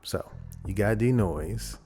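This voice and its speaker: A man presenting a casual software tutorial, explaining informally and thinking aloud as he goes. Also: lowish voice, takes it slowly, faint music behind. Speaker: audio editing tutorial presenter.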